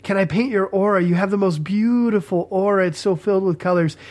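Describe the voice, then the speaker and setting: A man's voice: a little fast, close to an everyday voice. Man doing a gushing aura painter. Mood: fawning. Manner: flattering sales pitch. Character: fawning festival aura painter calling out to a passerby.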